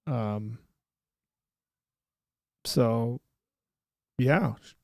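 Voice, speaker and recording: A man hosting a casual livestream, chatting loosely and often trailing off into a quiet mumble. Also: medium pitch, drawn-out words, no echo, clean studio sound. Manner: relaxed, rambling, trailing off quietly. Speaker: livestream host.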